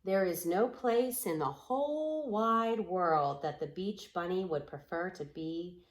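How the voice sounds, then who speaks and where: Slow-paced woman reading a storybook aloud. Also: lowish voice. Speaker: storybook reader. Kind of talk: storybook read-aloud in rhyming, poetic prose.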